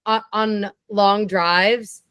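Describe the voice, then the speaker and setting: A young woman guesting on a podcast, chatting eagerly in a low, raspy voice with plenty of vocal fry. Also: fairly even intonation, fairly bright tone, no room sound, clean studio sound. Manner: chatty and enthusiastic, quick tangents. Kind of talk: casual chat.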